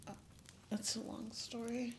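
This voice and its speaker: A woman playing a gentle, reserved young dragon rider in a whispered ASMR fantasy roleplay, breathy and hesitant, with formal old-fashioned phrasing. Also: a little high, little pitch movement, unhurried, close to an everyday voice. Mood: confiding, hesitant. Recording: loud ambient noise over whispering. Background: campfire and night nature ambience.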